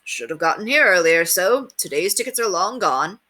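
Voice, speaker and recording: A woman's voice: lowish voice, lively intonation, normal speed, sharp tone. A woman voicing a small, wiry, brash male street tout with clipped, cheeky, colloquial salesman patter in a storybook reading.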